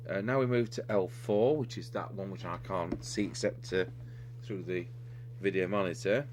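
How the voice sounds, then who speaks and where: A man's voice: low voice, normal speed. A man giving an unscripted, hands-on technical demonstration, talking step by step and somewhat hesitantly as he works on a radio repair. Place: indoor radio repair workbench. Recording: clearly noisy recording with electrical hum.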